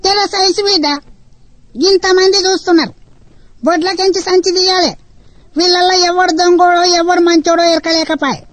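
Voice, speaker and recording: A woman's voice: very high voice, flat delivery, no echo. A woman voicing a chatty, wary old village woman with a Telangana accent, rambling in dialect. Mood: fussy, doting. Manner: fast, fond and rambling, drawn-out dialect.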